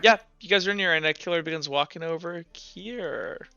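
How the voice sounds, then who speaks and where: A man narrating as game master, improvising informally in halting descriptions full of filler 'like'. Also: fairly high pitch, gravelly, sharp tone, dull audio. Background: background music.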